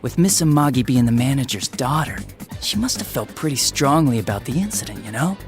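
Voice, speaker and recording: A man acts out a young male police detective from a video game, eager and indiscreet. He talks in a loose-lipped, apologetic, informal way at a middling pitch, with music clearly playing behind him. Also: lively intonation, fairly quick, close to an everyday voice. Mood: gossipy, confiding. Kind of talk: English video game dub voice acting. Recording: background music under the voice.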